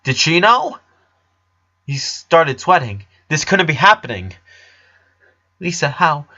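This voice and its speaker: A man narrating fan fiction from a script, reading haltingly with stumbles and repeats. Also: a little high, big pitch swings, sharp tone, dull audio. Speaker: story narrator.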